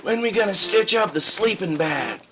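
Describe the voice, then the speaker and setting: A man plays a naive little boy in an audio drama. The voice is high and sharp, whiny and insistent, with faint music underneath. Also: animated, normal speed. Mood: pleading. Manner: pleading, insistent. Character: whiny, pestering young son, a naive boy.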